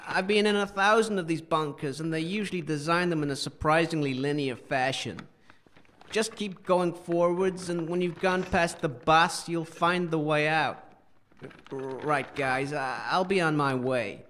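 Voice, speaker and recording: A man playing an eccentric, friendly junk-collecting wanderer in a comic audio drama, affable and quietly proud as he rambles. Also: on the high side, a little slow, slight background noise. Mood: helpful, chatty.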